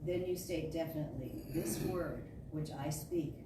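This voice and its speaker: A woman giving an emphatic, declarative reading of a spiritual sermon, with deliberate phrasing. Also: low-pitched. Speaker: storyteller reading a spiritual talk. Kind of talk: dramatic reading of a metaphysical sermon. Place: large indoor spiritual center room. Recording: echoey hall from far off, noisy.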